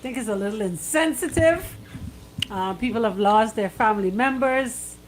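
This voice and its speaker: A woman's voice: fairly high pitch, normal speed, slight background noise, poor audio. A woman hosting a radio show in casual, colloquial banter, often speaking in short interjections. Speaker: radio host.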